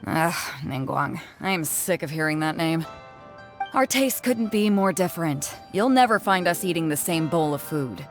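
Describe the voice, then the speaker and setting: A woman's voice: low-pitched, lively intonation, moderate pace. A woman voicing a bold, hearty sea captain in a video game, boisterous and blunt. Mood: scornful, proud. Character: bold, hearty adult female sea captain who likes drink. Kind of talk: animated video game character acting. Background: music.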